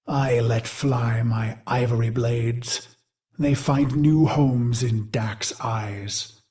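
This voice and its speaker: A man voicing a brooding warrior machine mind in a video game, recalling a brutal past in a grave, theatrical monologue with deliberate phrasing. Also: mid-range voice, fairly even intonation, dark tone. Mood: grim, resolute. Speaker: game character narrator. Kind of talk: dramatic scripted game monologue.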